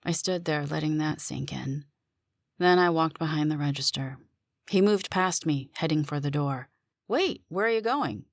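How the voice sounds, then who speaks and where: A woman giving a dramatic first-person reading of a suspense story, with deliberate pauses. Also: low voice, gravelly, no echo, studio quality. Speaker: narrator and performer of a story.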